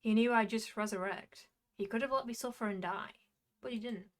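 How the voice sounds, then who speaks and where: A woman narrating a visual novel as a wry, reflective young male jester, in measured storytelling. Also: fairly high pitch, close to an everyday voice. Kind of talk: visual novel first-person narration read aloud.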